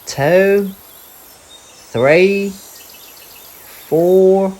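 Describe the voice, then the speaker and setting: A man's voice: high voice, very slow, a little mellow, voice pushed up. A man voicing an innocent, eager little boy wombat in a childlike storybook voice. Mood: earnest counting.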